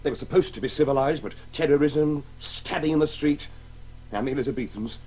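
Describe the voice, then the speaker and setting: A man's voice: on the high side, a little fast, darkish tone, husky. A man with a British accent playing a brooding, argumentative, eccentric husband in a radio drama. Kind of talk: 1980s radio drama acting.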